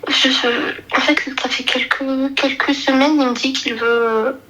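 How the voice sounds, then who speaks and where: Hesitant young woman, bright fairly high voice. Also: steady tone, a little slow, low-quality recording. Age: 20s. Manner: hesitant, searching for words. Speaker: caller seeking advice. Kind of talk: personal story told in casual conversation.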